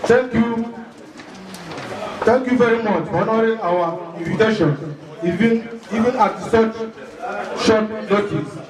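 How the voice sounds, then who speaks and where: A man gives a formal ceremonial speech as a community leader, declaiming solemnly in deliberate short phrases. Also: high voice, steady tone. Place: echoey gathering before an audience. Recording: echoey, far from mic, crowd noise.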